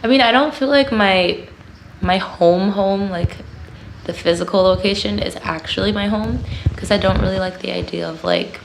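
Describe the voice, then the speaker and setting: A woman's voice: mid-range voice, normal speed, gravelly. A young woman answering casually in an interview, full of filler words. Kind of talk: personal interview testimony. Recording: noisy recording.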